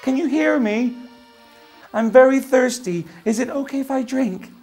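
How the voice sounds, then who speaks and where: A woman's voice: high-pitched, normal speed, darkish tone, soft background music, voice pushed up. A woman voicing a shy, polite young male swan in a storybook, apologetic and hesitant. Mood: pleading.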